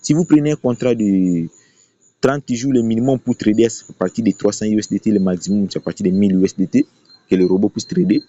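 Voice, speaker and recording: Low-pitched man, dark dull sound. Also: fairly quick. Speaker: YouTube tutorial presenter promoting a trading platform. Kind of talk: unscripted screen-share walkthrough. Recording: dull, lo-fi voice recording.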